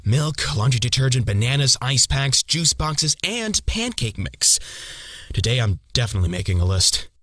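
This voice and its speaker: A man's voice: mid-range voice, very animated, moderate pace, pushed and bright, no room sound, studio quality. A man playing a good-humoured young father and photographer in a motion comic, easygoing and lightly wry. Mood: distracted.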